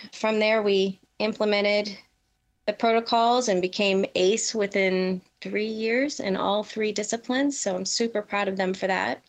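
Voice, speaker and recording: A middle-aged woman answering questions as a guest in a conversational interview. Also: medium pitch. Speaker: podcast guest, veteran emergency dispatch professional.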